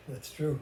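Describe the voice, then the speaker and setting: An older man from Hungary with a Hungarian accent, chatting casually as a vlogger about his own life. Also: a little high. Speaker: vlogger sharing relationship experiences. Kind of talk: casual conversational talk. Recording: roomy echo with faint background noise.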